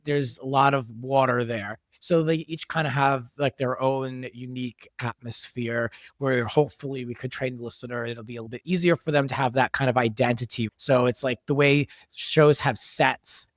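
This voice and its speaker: A man with a mid-pitched voice talks as a creative guest on a podcast. He explains things in a casual, conversational way. The recording is very dry. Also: fairly even intonation, normal speed, few pauses, dry recording. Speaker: audio drama creator and guest. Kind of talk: casual behind-the-scenes podcast discussion.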